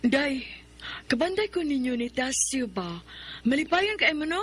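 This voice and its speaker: A woman's voice: sits high, very animated, measured pace, fairly bright tone, soft background music, exaggerated voice. A woman playing a young female friend who teases and gossips in a radio drama. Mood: teasing. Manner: teasing, gossipy.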